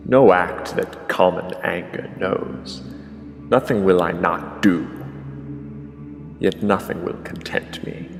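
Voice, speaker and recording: An actor playing a vengeful king tormented by rage in a classical tragedy, declaiming gravely and theatrically. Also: sits low, slow pace, full character voice. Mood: dark, tormented. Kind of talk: classical tragedy monologue, declaimed audio drama. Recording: long hall reverb, music underneath. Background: dramatic music.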